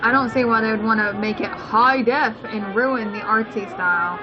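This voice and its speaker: A woman's voice: fairly high pitch, normal speed, muffled recording. A woman co-hosting a let's play, giving casual, informal and opinionated gameplay commentary. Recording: game music behind the voice.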